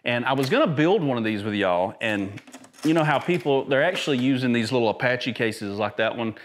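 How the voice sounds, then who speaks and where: A man in his thirties with a twang walks through a product demo in a big garage workshop. His voice sits at an ordinary middle pitch. He chats loosely and eagerly, stumbling and restarting as he goes. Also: expressive, brisk, a little hiss or hum. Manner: chatty, enthusiastic, filler-heavy, restarts often. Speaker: YouTube camping and gear host. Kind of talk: casual product demo to camera. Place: large garage workshop with tool chests, indoors.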